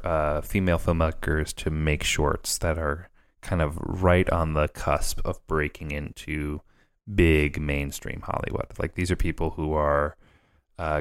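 A man's voice: rumbling low, clean tone. A man co-hosting a filmmaking podcast, chatting casually and enthusiastically with plenty of ums and uhs. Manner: chatty and enthusiastic, many fillers. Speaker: podcast co-host. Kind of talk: casual conversational podcast chat.

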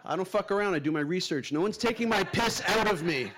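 A man doing a stand-up comedy set, deadpan with loud punchlines. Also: high-pitched, fast, a crowd in the background. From New York.

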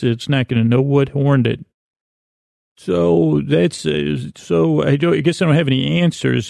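A man with a creaky voice hosting a sleep podcast, mumbling and stumbling through a meandering, gently absurd bedtime monologue. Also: mid-range voice, no room sound, studio quality. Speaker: podcast host. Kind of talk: rambling bedtime monologue to lull listeners to sleep.

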